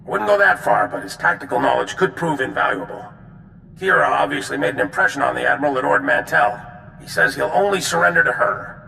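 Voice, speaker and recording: An actor playing a weary, gruff military general in a video game, with a gravelly voice and echo around it. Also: male voice, animated, fairly quick, sharp tone, full character voice. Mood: businesslike. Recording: echoey hall, noisy rumble.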